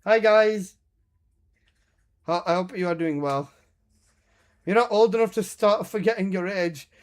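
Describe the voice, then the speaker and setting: A man's voice: high voice, a little slow. A man in his twenties streaming a game, chatting casually with his chat and bantering with lots of swearing and laughter. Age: 20s.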